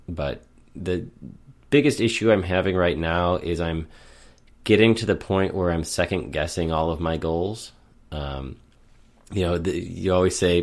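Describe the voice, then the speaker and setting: A man co-hosting a running podcast in casual chat, hoarse and a little off from a lingering sinus illness. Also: low voice. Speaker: podcast co-host.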